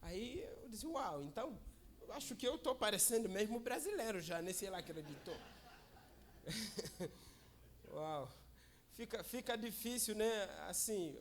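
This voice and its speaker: High-voiced man preaching to a church. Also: a little hiss or hum. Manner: chatty and joking, often asks "né?" and calls for amens. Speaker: guest preacher. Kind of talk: informal church sermon. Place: church service.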